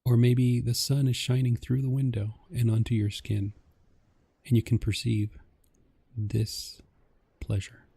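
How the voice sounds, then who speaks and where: Male meditation guide: husky and deeply relaxed, soft, calm, measured instruction. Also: no room sound. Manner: gentle, calming, measured instruction.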